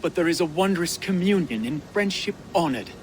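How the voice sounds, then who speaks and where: A man's voice: fairly high pitch, expressive, fairly quick, close to an everyday voice. A man playing an earnest, devout Saxon. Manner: earnest, pious. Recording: game cutscene with loud ambient noise.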